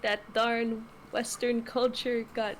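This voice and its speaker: A woman's voice: a little high, little pitch movement, slow pace, slight background noise. A woman whose first language is Tagalog, co-hosting a stream in casual, chatty talk and laughing easily. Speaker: co-host. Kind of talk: casual chat.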